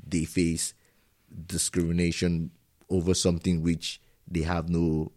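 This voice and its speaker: Guy with a really low mellow voice, earnest and reflective, asking personal questions in a casual chat. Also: monotone, measured pace. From immigrant living in Germany. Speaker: host. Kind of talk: casual conversation.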